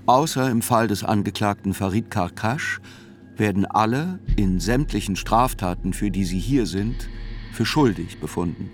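A man's voice: on the low side, lively intonation, moderate pace, faint music behind. An actor playing a sober, reflective male reporter who narrates a radio drama in literary reportage style.

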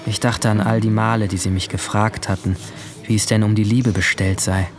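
A man playing a guilt-ridden seventeen-year-old boy, narrating his own story in a measured, introspective way in a radio drama. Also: on the low side, flat delivery, close to an everyday voice. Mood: brooding, sombre. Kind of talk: scripted first-person radio drama narration. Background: music.

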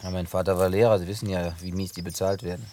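A man's voice: low voice, little pitch movement, quick pace, a little mellow, slight background noise, lightly acted. An actor dubbing a wry, teasing farm-boy type in a German film, talking dryly and laconically. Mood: reflective. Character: wry grown man, a farm boy at heart, teasing and guarded. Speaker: Jim, survivor in a German film dub.

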